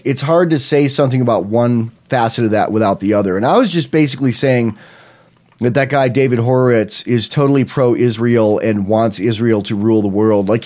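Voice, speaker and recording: Man talking casual politics, rambling and halting. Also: medium pitch, a little mellow. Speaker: co-host of a political talk show.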